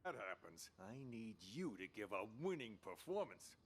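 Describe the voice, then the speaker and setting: A man's voice: fairly low pitch, sing-song, normal speed, a little hiss or hum. An actor playing a delusional, theatrical mental patient who thinks he is a director, pompous and conspiratorial in a radio drama style. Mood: commanding, scheming. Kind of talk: interactive radio drama acting.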